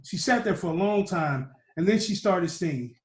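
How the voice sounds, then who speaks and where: Higher-voiced man reading aloud, roomy echo. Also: expressive, normal speed. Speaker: storytime reader. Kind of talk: reading a children's picture book aloud.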